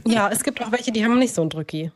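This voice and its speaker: Eine Frau, die in einem Podcast locker und mit trockener Ironie plaudert. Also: female voice, fairly high pitch, animated, racing, a little hiss or hum. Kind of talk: casual podcast chat. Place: at home.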